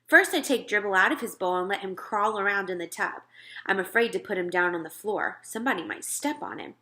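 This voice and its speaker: A woman reading a children's story aloud with expressive narration. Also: medium pitch, fairly quick.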